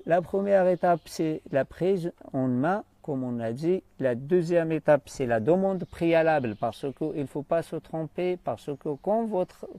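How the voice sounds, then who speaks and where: A man speaks French as an on a coaching live stream. His mellow, dark voice is recorded close and dry. He talks unscripted and often pauses to hunt for the right word. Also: on the high side, expressive, no echo. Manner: hesitant, often searching for words.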